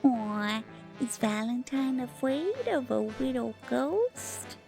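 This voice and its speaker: High-pitched, lively, sassy pixie sidekick. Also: female voice, slow pace, gravelly, soft background music, exaggerated voice. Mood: sassy, teasing. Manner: sassy, teasing. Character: smart, fast, sassy female tech pixie sidekick. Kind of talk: scripted audio drama acting.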